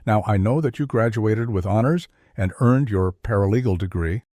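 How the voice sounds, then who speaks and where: A performer playing a calm, needling mock reverend who hosts a scripted satirical interview in a measured, deadpan way. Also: mid-range voice, no room sound, natural voice. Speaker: host.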